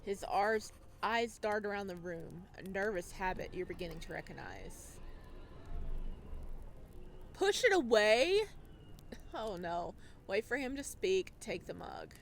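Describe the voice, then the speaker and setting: Female playthrough host, slow-paced dramatic story reading broken by casual asides, faint background noise. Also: mid-range voice, very animated. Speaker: video game playthrough host. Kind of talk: dramatic read-aloud narration with casual commentary.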